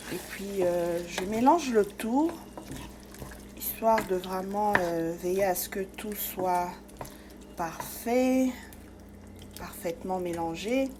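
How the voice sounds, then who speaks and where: A woman's voice: mid-range voice, a little mellow, slight background noise, rough recording. Chatty woman cooking, faint sizzle. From Congo-Kinshasa. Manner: chatty, step-by-step, many fillers. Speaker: cooking channel host. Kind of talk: casual cooking tutorial.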